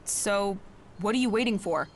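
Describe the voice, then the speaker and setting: A woman's voice: mid-range voice, moderate pace, a little hiss or hum, natural voice. An actress playing a brash, foul-mouthed, flirtatious companion in a video game, blunt and sarcastic in her delivery. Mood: mocking. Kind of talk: video game cutscene acting.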